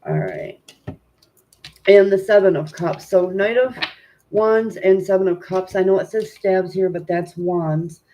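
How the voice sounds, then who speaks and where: A woman with a stuffy, congested nose hosting a tarot reading live stream at home, chatting informally with viewers and filling pauses with "um". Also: medium pitch, animated, mellow, slight background noise. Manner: informal and chatty, many "um" fillers, greets viewers. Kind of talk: casual live-stream chat and card reading.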